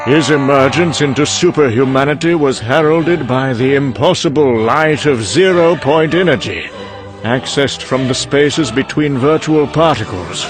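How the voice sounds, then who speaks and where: An actor playing a cynical, boozy British scientist delivering a sardonic, erudite monologue in an audio comic. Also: male voice, mid-range voice, measured pace, music in the background, natural voice. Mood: wry storytelling. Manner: sardonic, erudite, with sudden bursts of temper.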